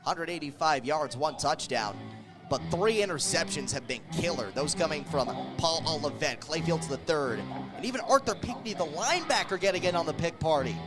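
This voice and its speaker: A man doing live play-by-play radio commentary of a football game, calling the action with excited energy. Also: on the high side, normal speed, music in the background. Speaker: play-by-play sports radio announcer.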